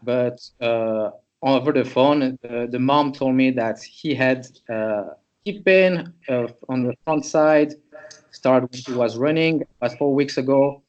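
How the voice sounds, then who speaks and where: A man's voice: mid-range voice, unhurried, a little mellow, muffled recording. A man from Switzerland, a chiropractor, presents a patient case to students in a loose, conversational way, thinking aloud as he goes.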